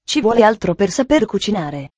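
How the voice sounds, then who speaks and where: A woman's voice: medium pitch, big pitch swings, brisk, a little mellow, no echo. A woman voicing a strict middle-aged aunt who speaks in a dry, severe, commanding way, in a storybook reading. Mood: stern.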